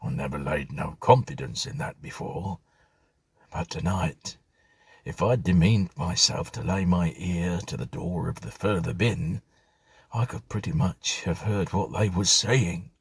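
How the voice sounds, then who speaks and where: A man's voice: sits low, measured pace, creaky, breathy edge, dry recording, exaggerated voice. A man voicing a flustered, superstitious ageing butler in a storybook reading, rambling in colloquial servant speech. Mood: insistent.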